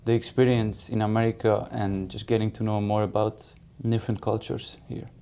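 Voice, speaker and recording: Young male athlete, Hungarian accent. Dark, smooth voice with very level intonation, interview setting. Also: on the low side, little pitch movement, moderate pace. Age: 20s. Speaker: college soccer player. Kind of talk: interview introduction.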